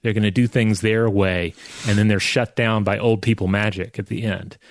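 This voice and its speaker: A man with a fairly low voice hosts a podcast in an easy conversational style. He is close on the mic, and the sound is very dry with no room around it. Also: steady tone, normal speed, dry recording. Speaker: podcast co-host. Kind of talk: casual chat, scripted ad reads and listener mail.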